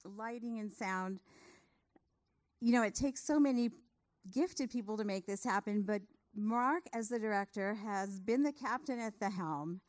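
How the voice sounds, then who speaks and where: A woman's voice: mid-range voice, a little slow, a little mellow. A woman, a theater producer giving a speech of thanks to a cast and crew.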